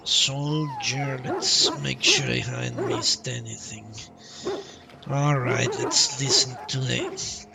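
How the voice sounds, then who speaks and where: A man's voice: medium pitch, very animated, takes it slowly, vocal fry, recorded from a distance. A man giving casual live commentary on a game he is playing, musing aloud and reading out dialogue choices. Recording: voice off-mic over loud game audio. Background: loud game music.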